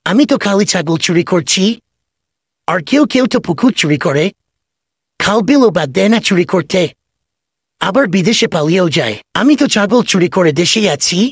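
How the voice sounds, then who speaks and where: A man's voice: high-pitched, expressive, normal speed, breathy edge, no room sound, clean studio sound, full character voice. A man playing a sly, cheeky village goat thief in a comedy cartoon, with a theatrical manner. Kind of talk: cartoon voice acting.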